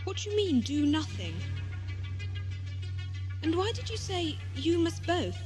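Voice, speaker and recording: A woman plays a feisty female space traveller in a sci-fi audio drama. Her voice is extremely high and animated, full of insistent questioning, with loud music underneath. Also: a little slow, heavily acted. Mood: puzzled.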